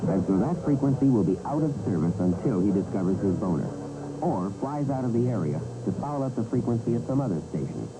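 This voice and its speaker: A man's voice: on the low side, lively intonation, brisk, low-quality recording. A man narrating a vintage instructional training film in a scripted, wry and emphatic way. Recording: vintage 1970 film soundtrack with music.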